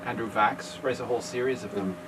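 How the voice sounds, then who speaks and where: A woman's voice: very deep voice, fast. A woman talking casually and reflectively in a conversational discussion. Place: indoors in a bookstore. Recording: distant mic, noisy room.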